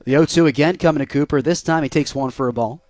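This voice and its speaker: A man's voice: fairly high pitch, fast talker, a little mellow, no echo. A young American man doing live radio play-by-play of a baseball game as the announcer.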